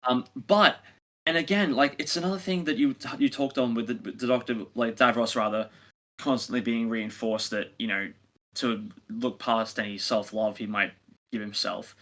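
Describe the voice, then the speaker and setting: A man co-hosting a casual review discussion, talking in a halting way as he thinks aloud. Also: mid-range voice, fairly even intonation, fairly bright tone. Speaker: podcast co-host reviewing audio drama.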